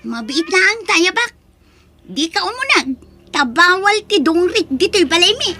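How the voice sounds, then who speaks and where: A woman's voice: extremely high pitch, very animated, measured pace, pushed and bright, exaggerated voice. A woman playing a snobbish young rich girl in an audio drama, haughty and taunting. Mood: scornful. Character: snobbish, sneering young rich girl who mocks the poor.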